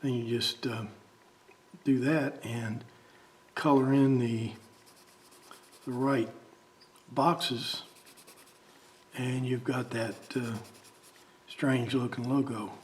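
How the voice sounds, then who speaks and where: A man talking casually and haltingly, thinking aloud as he demonstrates a drawing. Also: medium pitch, steady tone. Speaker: amateur artist demonstrating a drawing. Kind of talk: casual talk while drawing.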